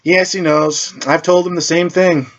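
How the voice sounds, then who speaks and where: A man's voice: high-pitched, little pitch movement, normal speed, dull audio, voice pushed up, close to an everyday voice. A man voicing a stern, sarcastic teenage witch girl in a superhero story. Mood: smirking. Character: stern, smirking teenage witch girl, sharp-tongued feminist.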